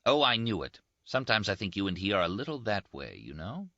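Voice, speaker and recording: A man voicing a brash, teasing young Italian army surgeon in an audiobook, quick and boastful. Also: fairly low pitch, very animated, bright, forceful tone, no room sound, muffled recording, voice pushed up, full character voice. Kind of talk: audiobook character voice.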